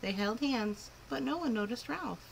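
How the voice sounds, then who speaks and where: A woman reading a picture book aloud to children in an expressive, clearly phrased storytelling style. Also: fairly high pitch, moderate pace, a little hiss or hum, rough recording. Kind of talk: animated children's story narration.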